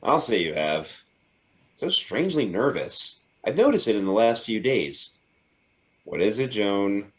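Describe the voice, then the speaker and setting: A man's voice: fairly low pitch, sing-song, a little slow, a bit bright. A man playing a concerned, affectionate sweetheart in an old-time radio drama. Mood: concerned, gentle.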